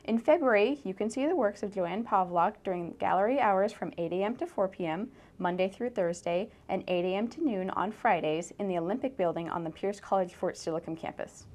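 A woman's voice: mid-range voice, fairly quick, hardly any pauses, darkish tone. A woman presenter reading a scripted arts announcement.

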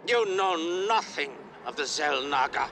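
An actor playing an ancient, contemptuous villain in a video game, gravelly and ominous. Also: male voice, a little high, lively intonation, measured pace, heavy background noise. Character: sneering ancient shapeshifting villain, older man's guise.

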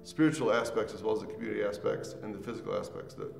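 Low, gravelly man, roomy sound. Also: very fast. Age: middle-aged. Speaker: parent and student giving a testimonial. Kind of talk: unscripted spoken testimonial. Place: small indoor room with a couch. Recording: roomy echo, distant mic, faint music behind.

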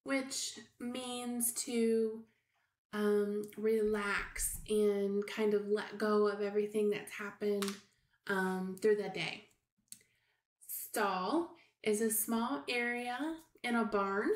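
A middle-aged woman talks to small children as their preschool teacher. Her pace is unhurried and she explains simply, pausing as she goes. The sound is roomy, as if recorded in a small room at home. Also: medium pitch, fairly even intonation. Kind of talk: read-aloud lesson for young children.

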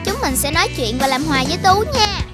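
A little cartoon schoolgirl with a very high, sweet voice. She is chatty and kind to everyone. Music plays softly behind her. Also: animated, quick pace. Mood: gentle. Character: young schoolgirl, friendly and kind. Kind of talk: cartoon voice acting.

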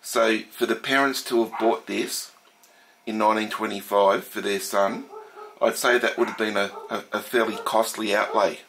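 Casting: middle-aged Australian man, nasal from a head cold, lowish voice, casual unhurried narration. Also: steady tone, vocal fry, a bit bright, a little hiss or hum, low-quality recording. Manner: slow, thoughtful, with frequent fillers and restarts. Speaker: steam engine collector. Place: home workshop room.

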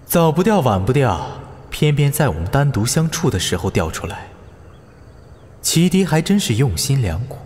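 An actor playing a proud, guarded former general turned steward in a historical audio drama, speaking curtly and formally. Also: male voice, mid-range voice, close to an everyday voice. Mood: wry musing. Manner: curt, formal.

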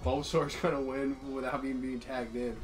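A man's voice: mid-range voice, steady tone, brisk. A young man bantering casually over a game as a guest, joking and hyping things up in slang. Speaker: guest co-commentator on a game stream. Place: indoor room, streaming webcam setup. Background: video game music.

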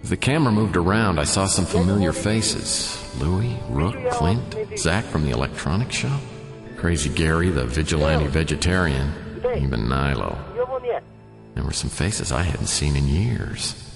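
A man's voice: very deep voice, expressive, measured pace, vocal fry, echoey room, big-room sound, over loud music, heavily acted. An actor playing a wry, hard-boiled private detective, narrating his case dryly in a noir radio drama. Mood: brooding, narrating. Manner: dry, wisecracking.

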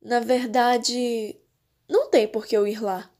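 A woman's voice: fairly high pitch, steady tone, slow, darkish tone. A woman with a Brazilian accent voicing a jealous, prim, panicky rich teenage girl in a manga fan dub. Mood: haughty, insistent. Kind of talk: dramatic fan dub dialogue.

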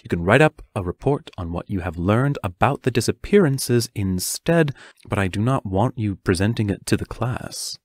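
A serious male teacher played by an actor in an audio play. His voice is on the low side and recorded dry and close. He is cautious and protective, choosing his words formally. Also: expressive, no room sound. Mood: firm, concerned. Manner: measured, formal, careful phrasing. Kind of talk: audio drama acting.

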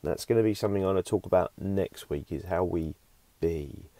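A middle-aged man with a slightly croaky voice after a cough, giving an informal, unhurried online devotional as a youth pastor. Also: deep voice, mellow, no echo. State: recovering from a cough. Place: inside a camper van.

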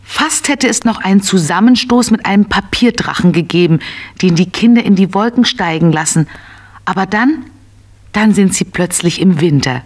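A woman narrating a scripted children's tale in an expressive, simple storytelling manner. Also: medium pitch, moderate pace, natural voice.

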